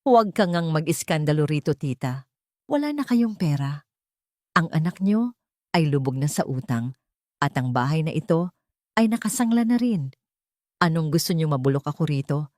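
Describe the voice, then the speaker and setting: A woman's voice: low-pitched, animated, normal speed, pauses often, a little mellow, no room sound, studio quality. A woman voicing a brazen young mistress who talks in a mocking, defiant way, in a narrated drama. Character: scornful, brazen young mistress, greedy schemer.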